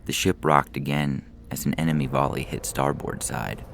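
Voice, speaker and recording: A man's voice: a bass voice, flat delivery, normal speed, vocal fry, a little hiss or hum. A man reading comic science fiction stories as a scripted narrator and announcer. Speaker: story reader and announcer.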